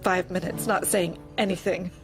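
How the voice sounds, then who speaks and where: A woman's voice: fairly low pitch, fairly even intonation, moderate pace, soft background music, lightly acted. An actress playing a meek, anxious female employee and young mother in an audio drama, hesitant, deferential and subdued. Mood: quietly grieving. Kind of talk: audio drama acting, dark comedy.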